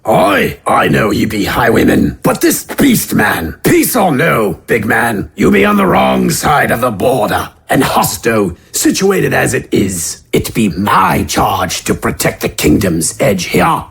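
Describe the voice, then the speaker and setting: A man voicing a gluttonous, domineering middle-aged baron in a fantasy audio drama, gruff and raspy, with imperious archaic rustic phrasing. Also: medium pitch, wildly swooping pitch, measured pace, hardly any pauses, fairly bright tone, heavily acted. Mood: stern, indignant. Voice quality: raspy, gravelly.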